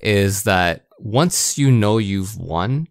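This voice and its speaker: A man's voice: on the low side, expressive, extremely slow, clear, resonant tone, dry recording, studio quality. A man co-hosting a podcast, chatting casually about video games. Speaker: podcast co-host. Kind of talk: casual video game discussion.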